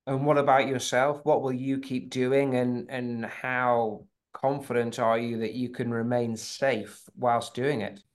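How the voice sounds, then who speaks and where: Podcast interviewer with a mid-low voice. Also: flat delivery, unhurried, hardly any pauses. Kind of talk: measured interview questions.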